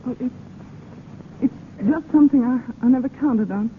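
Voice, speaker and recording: Actress as high-voiced torn young woman in love. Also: moderate pace, slight background noise, lightly acted. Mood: hesitant, troubled. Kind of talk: 1930s-40s radio drama acting. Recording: tinny vintage radio recording, loud music behind.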